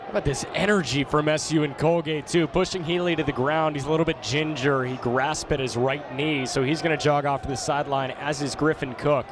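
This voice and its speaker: A man's voice: fairly high pitch, steady tone, a little fast, hardly any pauses. A man working as a color commentator, giving emphatic, informal, conversational analysis during a live game. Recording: loud crowd noise behind commentary. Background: crowd and arena noise.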